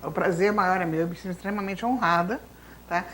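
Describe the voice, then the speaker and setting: A woman's voice: fairly low pitch, a little fast, fairly bright tone. A woman in her fifties whose native language is Spanish, telling a long story of her childhood as an interview guest, with frequent fillers like "né". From Brazil. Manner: long storytelling, lots of fillers like né and então, assim. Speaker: retired professor, interview guest. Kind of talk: reminiscing life story in an interview.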